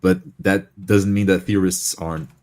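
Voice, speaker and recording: A man's voice: low voice, flat delivery, normal speed, darkish tone. A man in casual discussion, reasoning analytically and hesitantly as he searches for his words.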